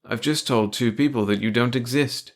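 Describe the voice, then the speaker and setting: A man's voice: mid-range voice, moderate pace, natural voice. A man playing a tired, spiritless but decent male administrative officer with a peevish streak in a story.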